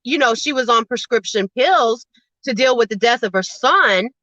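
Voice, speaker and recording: A woman with a bright, forceful voice speaks bluntly and swears freely, pressing each point hard. Her voice sits a little high and is recorded dry and close, with a dull, slightly lo-fi sound. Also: lively intonation, normal speed, no room sound. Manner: blunt, profane, emphatic storytelling; says she has a naturally loud voice. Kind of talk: casual chat on a livestream.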